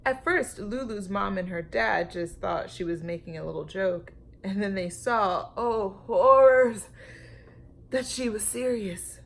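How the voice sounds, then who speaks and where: Slow-paced woman reading aloud to children. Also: medium pitch, vocal fry. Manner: animated, emphatic, with dramatic pauses and questions to young listeners. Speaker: storytime teacher reading to children. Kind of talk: expressive children's read-aloud.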